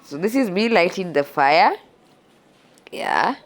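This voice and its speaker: A young Kenyan woman with a Kenyan accent narrating her outdoor cooking vlog in a relaxed, chatty way, a little stuffy from a cold. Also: on the low side, slow. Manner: relaxed and chatty, with frequent "yeah" and restarts. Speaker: cooking vlogger. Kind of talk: casual voice-over narration of a cooking video.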